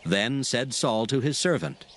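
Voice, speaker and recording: A man reading scripture narration in a measured, formal manner as storyteller. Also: medium pitch, animated. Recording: faint music behind the voice.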